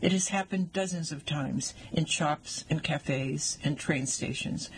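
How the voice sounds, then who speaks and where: A man's voice: fairly high pitch, a little slow, slight background noise, low-quality recording. A man in his sixties reading a personal essay aloud with wry, dry humor.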